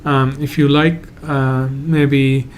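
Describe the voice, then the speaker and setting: A man from Sri Lanka, a migration agent hosting a live Q&A and answering viewer questions in a halting, hedging way. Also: medium pitch, level tone, a little hiss or hum. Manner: halting, hedges often, reads out viewer questions.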